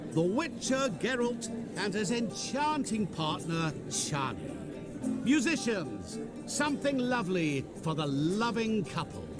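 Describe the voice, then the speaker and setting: Sly mysterious stranger, courteous, theatrical, taunting, swinging pitch, game score behind. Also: male voice, sing-song, takes it slowly, heavily acted. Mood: showman. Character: mysterious smooth-talking male stranger, sly and knowing. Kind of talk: video game cutscene acting. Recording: game cutscene with music score.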